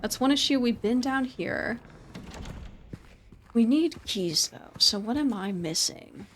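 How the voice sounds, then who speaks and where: A woman's voice: fairly high pitch, expressive, slow pace, a bit bright, a little hiss or hum. A woman giving casual live game commentary and chatting with viewers, informal and prone to repeating her words and phrases. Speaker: game streamer.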